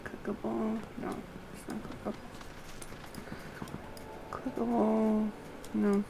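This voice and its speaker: A woman's voice: a little high, level tone, takes it slowly, creaky, noisy background. A woman streaming a video game, muttering to herself in casual live commentary and often trailing off. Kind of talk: casual live gameplay commentary, thinking aloud.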